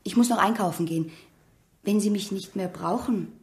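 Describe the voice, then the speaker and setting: A woman's voice: medium pitch, moderate pace, a little mellow, lightly acted. An actress in a German radio play, playing an earnest young village wife and mother, forthcoming and matter-of-fact. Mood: concerned.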